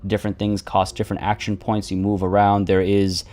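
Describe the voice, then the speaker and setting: A man live-streaming a game, chatting casually and rambling with many restarts, his voice a little rough from the morning. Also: fairly low pitch, monotone, a little fast, darkish tone.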